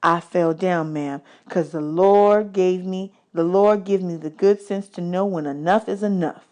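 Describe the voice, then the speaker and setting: A woman's voice: lowish voice, measured pace, darkish tone, lightly acted. Earnest young orphan boy read by a woman, polite, respectful and talkative, for a storybook. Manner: respectful, matter-of-fact.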